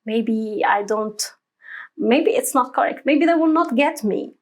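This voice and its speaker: A woman in her thirties from Tunisia speaks English with a Tunisian Arabic accent. Her voice sits fairly high, and she pauses often with fillers. She laughs often as she talks in a relaxed interview. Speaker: guest. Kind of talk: conversational interview.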